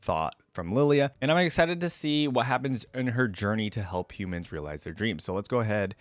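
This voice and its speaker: Deep-voiced, enthusiastic man reacting casually. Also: lively intonation, a little fast, no room sound. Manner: enthusiastic, rambling, frequent "like". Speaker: reaction video host and fan. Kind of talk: casual reaction commentary and story reading.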